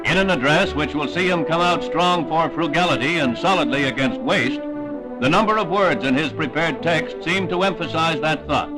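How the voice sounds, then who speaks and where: A man's voice: fairly high pitch, moderate pace, poor audio. A man with an American accent narrating a 1960s newsreel in a formal, declamatory announcer style. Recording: 1960s newsreel soundtrack with music. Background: newsreel music.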